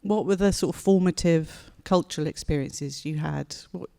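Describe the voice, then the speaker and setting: A woman's voice: low voice, normal speed, gravelly, dark tone. A woman journalist interviewing a guest onstage before an audience, friendly and polite, with fillers. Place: event hall before an audience.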